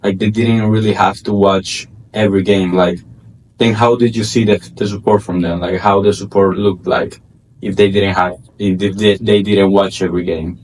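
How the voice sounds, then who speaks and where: Flat-toned male podcast co-host. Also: low voice, moderate pace, rough recording. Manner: loose, rambling questions with many likes. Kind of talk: casual interview chat.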